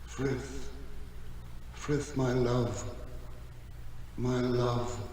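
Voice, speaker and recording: An actor playing a dead lover's tender spirit, solemn and loving, with heavy echo in a radio drama. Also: male voice, medium pitch, fairly even intonation, very slow, darkish tone, slight background noise. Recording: very echoey voice under music.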